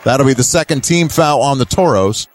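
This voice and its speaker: A man's voice: medium pitch, normal speed, soft background music. A man doing live basketball play-by-play in clipped running phrases, with emphatic calls. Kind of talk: live sports commentary. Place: courtside at an indoor basketball game.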